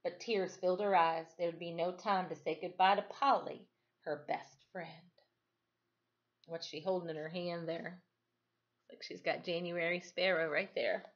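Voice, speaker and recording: A woman reading a story aloud expressively, with dramatic emphasis and pauses, like a teacher at storytime. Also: on the low side, fairly even intonation, highs cut off. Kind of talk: expressive read-aloud storytelling with brief asides to listeners.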